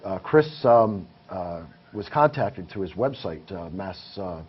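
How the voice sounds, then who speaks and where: A man's voice: low voice, expressive, takes it slowly, husky. A man who is a paranormal investigator, talking as a guest in a casual interview and telling his findings in earnest detail. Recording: muffled, with faint hiss.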